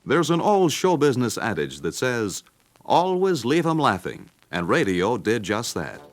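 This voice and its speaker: A man's voice: mid-range voice, very animated, a little slow. A man with an American accent reading scripted documentary narration about the past. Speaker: narrator of a radio history record.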